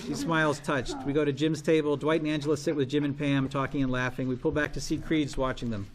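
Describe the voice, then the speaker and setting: A man's voice: fairly high pitch, flat delivery, fast talker. A man reading out a script's stage directions at a table read, in a noisy live recording.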